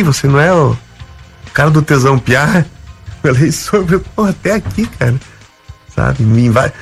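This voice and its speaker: Brief: male guest, gaúcho accent, gravelly, lively anecdotes with voiced-out dialogue. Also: medium pitch. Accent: Gaúcho Brazilian Portuguese. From Rio Grande do Sul, Brazil. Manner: animated, acts out quoted dialogue. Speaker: interview guest, comedian. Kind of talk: casual storytelling.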